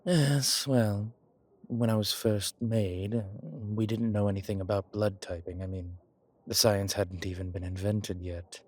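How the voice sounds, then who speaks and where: A man playing a suave, grateful old vampire in a roleplay audio, speaking formally with pauses and quiet amusement. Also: fairly low pitch, steady tone, no room sound. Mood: wry, confiding. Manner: genteel, formal, hesitant pauses, soft chuckles. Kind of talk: intimate one-sided roleplay audio drama.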